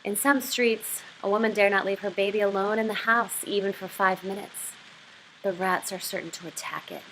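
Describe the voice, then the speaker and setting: A woman voicing a menacing, schoolmasterish male interrogator from a novel, measured and deliberate, lecturing coldly. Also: mid-range voice, fairly even intonation, fairly bright tone, a little hiss or hum, lightly acted, poor audio. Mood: quietly menacing. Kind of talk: literary reading of novel dialogue.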